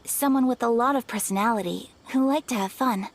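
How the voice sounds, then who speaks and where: A woman playing a polite, gentle young noblewoman in an anime video game, speaking formally and with courteous, measured phrasing. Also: a little high. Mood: earnest, composed. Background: background music.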